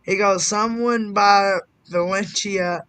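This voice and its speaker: A man in his twenties chatting casually on a live stream, excitable and swearing freely. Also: sits high, takes it slowly, clear, resonant tone, no echo. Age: 20s. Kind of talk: casual chat.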